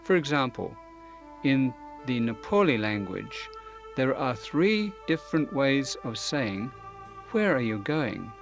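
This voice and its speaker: An older man presenting a scripted educational video, explaining in a clear, measured way, filmed in a studio. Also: mid-range voice, very animated, soft background music. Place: studio, dark curtain behind.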